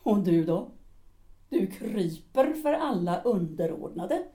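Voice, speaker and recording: A woman's voice: mid-range voice, very animated, mellow, close to an everyday voice. A woman playing an embittered former actress, a cutting and reproachful middle-aged wife in an audio drama. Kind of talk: Swedish audio drama acting.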